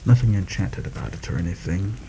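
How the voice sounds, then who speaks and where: A man acting out a seasoned rebel assassin in an ASMR fantasy roleplay. The voice is low and creaky, kept soft and measured, with a wry, conspiratorial manner. There is clear noise behind it. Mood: calm, wary. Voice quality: gravelly, creaky. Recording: noticeable background noise.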